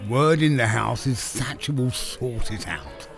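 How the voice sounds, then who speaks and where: A gravelly, slow-spoken older Englishman holding court in a village radio play acted by a man, music playing behind. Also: mid-range voice, expressive. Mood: jovial. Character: brusque older English village man celebrating big birthday. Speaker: actor. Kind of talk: scripted audio drama dialogue.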